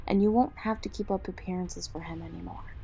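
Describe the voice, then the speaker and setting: A woman playing a tough, teasing female vigilante in an audio drama, with a husky, breathy voice and wry manner. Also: fairly low pitch, fairly even intonation, fairly quick, mellow, soft background music. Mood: warm teasing.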